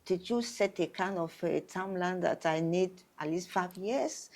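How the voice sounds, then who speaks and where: A woman's voice: on the low side, normal speed, darkish tone. A woman interviewing a shop owner, asking hesitant questions and often repeating words before she finishes.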